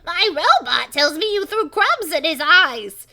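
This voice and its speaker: A woman's voice: very high voice, sing-song, measured pace, creaky, pushed and bright, pitched above the performer's own voice, heavily acted. A woman voicing a frantic, snappish male professor from a children's horror storybook, with a harsh, strained voice and an exclamatory delivery. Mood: accusing. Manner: exclamatory, put-on accent.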